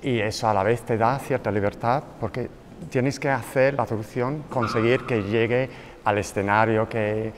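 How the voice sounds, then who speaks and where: A man's voice: medium pitch, fairly even intonation, normal speed, slight background noise. A man from the United Kingdom, a translator answering an interview fluently and enthusiastically. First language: English.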